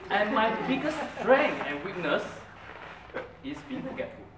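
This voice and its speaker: A young man whose first language is Mandarin tells his story with a lively, rising-and-falling voice. He is heard from a distance in a roomy meeting hall, with a steady hiss of room noise behind him. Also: high voice, a little slow. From Brunei. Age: young adult. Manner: earnest storytelling, with an animated, emphatic delivery. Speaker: club speaker. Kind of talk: prepared speech. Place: meeting room, medium size, indoors. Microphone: far camera.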